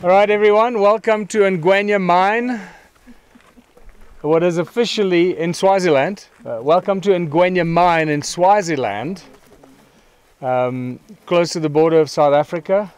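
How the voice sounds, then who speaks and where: A man speaking informally as he introduces a site to a group, with deliberate, emphatic phrasing. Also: high-pitched, clean tone.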